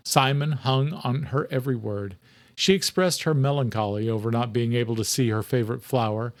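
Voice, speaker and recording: A man giving a steady, scripted narration of a horror audiobook. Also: mid-range voice, no echo, studio quality.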